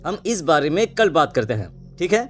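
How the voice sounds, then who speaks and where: Headstrong teenage son, acted by a man, animated swooping intonation, carefree, insistent, cartoon story drama. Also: fairly high pitch, normal speed, faint music behind. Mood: reassuring. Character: headstrong, dismissive eighteen-year-old village son.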